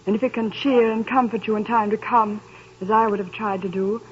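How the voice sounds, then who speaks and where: An actress playing a sorrowful young woman who breaks off her engagement, in a 1930s radio drama, with a gentle, resigned manner. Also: medium pitch, fairly even intonation, a little fast, natural voice. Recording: muffled noisy 1930s radio recording.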